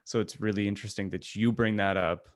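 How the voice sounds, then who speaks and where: A man's voice: lowish voice, fairly even intonation, fast, dry recording, clean studio sound. A man co-hosting a podcast, chatting casually and conversationally about books with friends. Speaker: podcast co-host. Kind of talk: casual book-club podcast chat.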